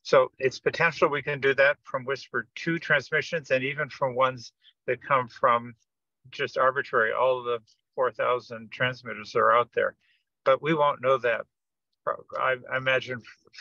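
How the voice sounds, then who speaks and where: A man with a creaky, bright voice explains technical details on a teleconference. He speaks haltingly, doubling back over words. The audio is dry and somewhat dull. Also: mid-range voice, fairly even intonation, dry recording. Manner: halting, hesitant delivery with repeated words. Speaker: project participant giving an update. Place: remote teleconference.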